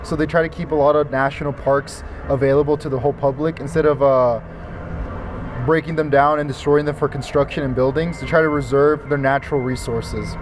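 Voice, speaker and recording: Guy from mexico, kinda hesitant, lots of uhs, slightly high voice, faint hiss. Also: steady tone, a little fast. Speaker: interviewee. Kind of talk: casual interview.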